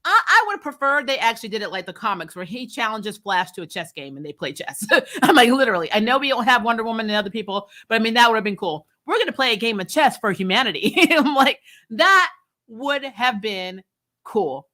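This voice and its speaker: A woman hosting a casual, opinionated TV recap, animated and sarcastic, with mock quotes and some mild swearing. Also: a little high, a little fast. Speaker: host of a TV review channel.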